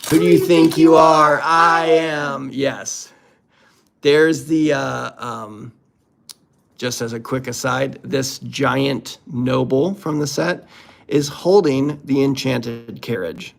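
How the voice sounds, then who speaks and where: Unhurried man chatting casually, slightly gravelly. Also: mid-range voice. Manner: playful, jokey, exclamatory. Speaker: host opening card packs. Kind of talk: casual unboxing commentary.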